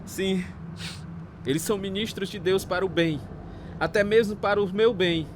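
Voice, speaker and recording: An actor playing an emotional, humbly grateful husband with a Brazilian accent in a radio drama. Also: male voice, sits high, normal speed, natural voice. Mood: moved, grateful. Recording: clear street and car noise.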